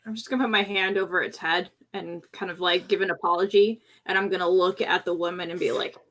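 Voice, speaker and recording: A woman's voice: fairly low pitch, fairly even intonation, quick pace, fairly bright tone, rough recording. A woman speaking as a player in a tabletop roleplaying game, tentatively thinking aloud about her character's actions. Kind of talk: casual game talk, describing character actions.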